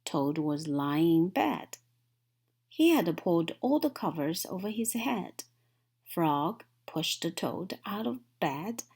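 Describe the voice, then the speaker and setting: A woman's voice: on the low side, big pitch swings, slow pace, darkish tone, breathy edge. A woman narrating a children's story from a book, as a read-aloud. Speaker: storybook reader.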